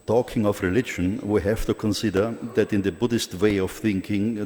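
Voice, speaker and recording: Deep-voiced man, heavy Austrian accent. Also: darkish tone. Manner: earnest, halting on some words. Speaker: conference speaker. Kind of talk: formal prepared speech. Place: large conference hall. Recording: long hall reverb.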